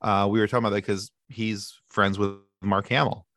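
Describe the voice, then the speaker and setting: A man in his thirties chatting casually as a podcast guest, sarcastic and animated, often restarting his sentences. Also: fairly low pitch, a little fast, dry recording, clean studio sound. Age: 30s. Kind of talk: casual chat.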